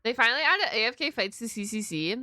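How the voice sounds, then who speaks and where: Bright-voiced woman streamer, casual and excitable. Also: fairly high pitch, fast. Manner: informal, excitable, exclamatory. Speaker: game streamer. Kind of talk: casual live reaction chat. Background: game audio and music.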